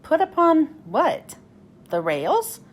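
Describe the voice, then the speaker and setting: A woman's voice: high voice, sing-song, slow pace, pitched above the performer's own voice, exaggerated voice. A woman voicing a cheeky, puzzled little male tank engine with a teasing manner in a children's storybook. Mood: confused.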